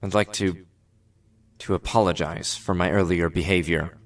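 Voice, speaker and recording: A man's voice: low-pitched, fairly even intonation, moderate pace, close to an everyday voice. An actor playing a young, nervous spaceship crewman, hesitant and deferential, in a science fiction audio drama. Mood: apologetic.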